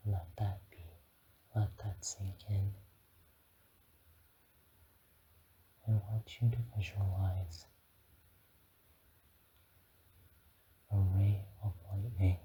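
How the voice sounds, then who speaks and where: A man voicing a gentle, reassuring dragon guide in an ASMR guided meditation, soft-spoken and often whispering, in halting phrases. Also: low-pitched, muffled recording, slight background noise. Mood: soothing, encouraging.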